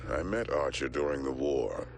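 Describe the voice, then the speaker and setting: An actor playing a grave, world-weary war veteran giving terse, matter-of-fact intelligence briefings in a gravelly voice for a video game. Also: male voice, a bass voice, animated, normal speed, exaggerated voice. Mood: grave, dry.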